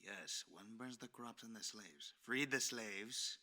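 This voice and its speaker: A man's voice: on the low side, slow, bright, forceful tone, full character voice. A man playing a cocky, flirtatious, easily flustered masked Spanish vigilante with a Spanish accent, in a theatrical, bantering ASMR roleplay drama.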